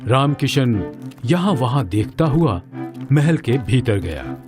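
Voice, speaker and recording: A man's voice: medium pitch, very animated, slow. A man narrating a scripted children's moral story. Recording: music under the voice.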